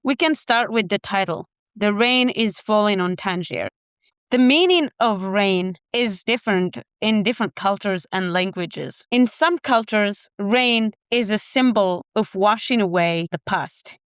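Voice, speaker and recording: A woman from Morocco co-hosting a podcast, calmly discussing a short story. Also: mid-range voice, measured pace, clear, resonant tone, no echo, clean studio sound. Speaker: podcast co-host. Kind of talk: literary discussion.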